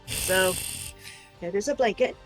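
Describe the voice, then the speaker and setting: Woman chatting haltingly over music. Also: medium pitch, poor audio. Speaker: crafter showing crochet projects on a livestream. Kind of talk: casual show-and-tell chat.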